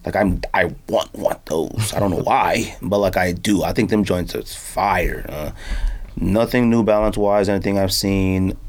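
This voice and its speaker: A man's voice: low-pitched, moderate pace. A man hosting a podcast, chatting casually and informally with lots of slang. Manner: animated, slangy, informal talk. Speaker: podcast host. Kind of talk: casual podcast chat about sneakers.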